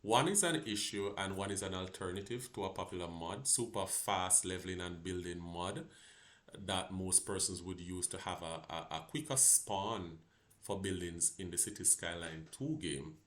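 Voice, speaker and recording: A Jamaican man hosting a gaming channel, talking through a game fix in a halting, casual way with many fillers and restarts. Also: sits low, fairly bright tone. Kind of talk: casual tutorial walkthrough.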